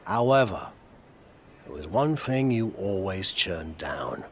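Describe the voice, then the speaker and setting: An actor playing a wry British veteran wrestler and mentor, coaxing and teasing with dry humour in a video game cutscene. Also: male voice, deep voice, sing-song, takes it slowly, a little hiss or hum, full character voice. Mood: wry reminiscing. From United Kingdom.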